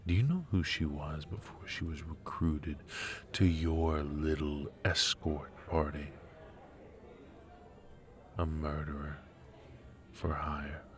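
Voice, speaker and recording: A man playing a silky, mocking dark warlord in an intimate ASMR roleplay, with theatrical, taunting, drawn-out delivery. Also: extremely low pitch, fairly even intonation. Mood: mocking, scornful. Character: dark male warlord villain, silky, mocking, menacing dream invader. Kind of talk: ASMR roleplay, intimate villain monologue addressed to the listener.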